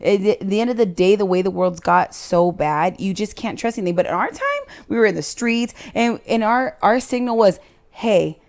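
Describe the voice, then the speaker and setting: A woman in her thirties, a podcast guest telling stories in casual chat with emphatic, rapid delivery. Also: mid-range voice, lively intonation, a little mellow.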